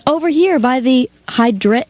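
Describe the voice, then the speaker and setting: A woman's voice: high voice, lively intonation, normal speed, a little mellow, no room sound. A woman playing a coy, indignant young small-town sweetheart in a comedic radio play. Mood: indignant, pleading.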